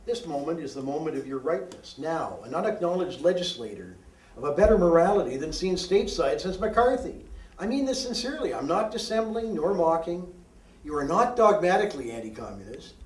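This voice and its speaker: A man reading his own poetry aloud in a deliberate, deadpan, declamatory way with emphatic phrasing. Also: on the high side. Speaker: poet reading own work. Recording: roomy echo, distant microphone.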